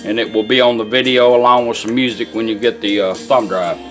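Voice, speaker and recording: A man's voice: on the low side, steady tone, fairly quick, soft background music. A man giving an informal hands-on product demonstration in a folksy, matter-of-fact way as a restorer.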